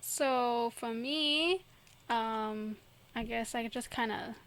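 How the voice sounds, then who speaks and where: A woman's voice: fairly high pitch, takes it slowly, fairly bright tone. A young woman from Singapore, chatting casually as a podcast co-host and giggling often. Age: young adult. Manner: chatty, giggly, trails off and restarts. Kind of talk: casual chat.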